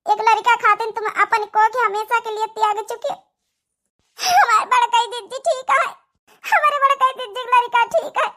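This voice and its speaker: Woman doing a squeaky bright cartoon daughter-in-law, awadhi accent, tearful, pleading, really sorry. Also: moderate pace. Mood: tearful, emotional. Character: remorseful young daughter-in-law, pleading and self-sacrificing. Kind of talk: cartoon family melodrama acting.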